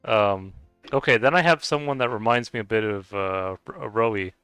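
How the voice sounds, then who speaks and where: A man's voice: fairly low pitch, moderate pace, sharp tone, clear, resonant tone. A man streaming a game, chatting casually with viewers and stumbling over how to say names. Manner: hesitant, stumbling over name pronunciations, chuckling. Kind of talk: casual live-stream chat. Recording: dry close mic with faint music behind.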